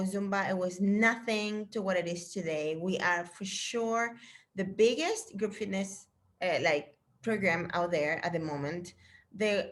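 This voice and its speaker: A middle-aged woman from Chile, a fitness trainer, chatting casually and encouragingly to her trainees on a video call. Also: mid-range voice. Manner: chatty and encouraging, with fillers and restarts.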